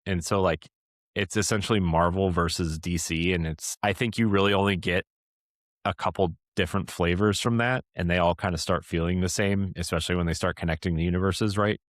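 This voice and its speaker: A man's voice: low-pitched, steady tone, brisk, no room sound, clean studio sound. A man hosting a podcast in casual discussion, rambling along and often checking in with a tag question. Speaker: podcast host.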